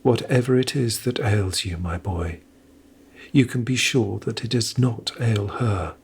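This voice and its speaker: A man voicing a stern, cold-hearted gentry mother in a period audio drama, speaking in a hushed, deliberate way. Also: fairly low pitch, vocal fry, slight background noise. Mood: cold counsel.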